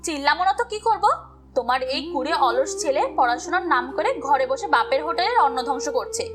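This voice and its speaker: A woman's voice: high-pitched, animated, fast. A woman playing a cruel village stepmother in a cartoon story, scolding and sarcastic. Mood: angry. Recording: music under the voice.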